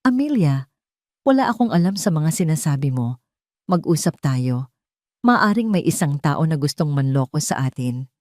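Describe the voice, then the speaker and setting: A woman's voice: sits low, very animated, moderate pace, a little mellow, no echo, clean studio sound. Woman voicing an earnest, pleading male doctor.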